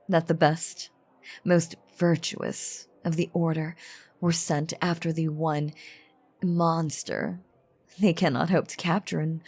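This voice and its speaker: Woman acting a low, slow, ancient vampiress. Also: a little mellow, breathy edge, heavily acted. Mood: sly, pointed. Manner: theatrical, teasing, archaic wording, deliberate pauses. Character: ancient, playful, menacing female vampire toying with her hunter. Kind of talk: dramatic character audio roleplay monologue.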